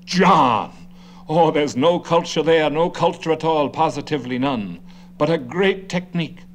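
A man's voice: on the high side, measured pace, a little hiss or hum, close to an everyday voice. An actor playing a fussy, condescending older man who chatters and lectures endlessly. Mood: chattering. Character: fussy know-it-all older man, gabby.

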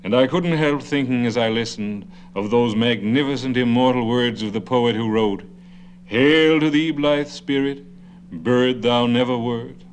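An actor voicing a grandiloquent older mayor, speaking in a pompous, declamatory style. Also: male voice, mid-range voice, measured pace, slight background noise, close to an everyday voice. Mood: orating. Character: grandiloquent old mayor, orator.

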